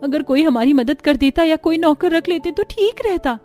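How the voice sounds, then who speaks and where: Lady doing a young bahu in a cartoon, high voice, put-upon, kinda whiny and grumbly. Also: moderate pace, mellow, faint music behind, natural voice. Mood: plaintive. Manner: plaintive, grumbling. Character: put-upon, complaining young daughter-in-law. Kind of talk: Hindi cartoon family drama voice acting.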